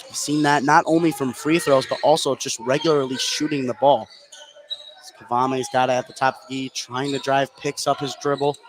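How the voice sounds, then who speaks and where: A man's voice: medium pitch, level tone, normal speed. A man calling live basketball play-by-play for a radio broadcast from a gym. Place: indoor high school basketball gym, courtside. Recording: live gym broadcast, loud background noise. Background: loud gym ambience.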